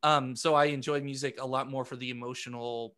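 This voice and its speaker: A man chatting casually as a podcast co-host, halting and repeating words as he works out his thoughts, often self-deprecating. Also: mid-range voice, level tone, sharp tone. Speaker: podcast co-host and amateur musician. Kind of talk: casual group chat.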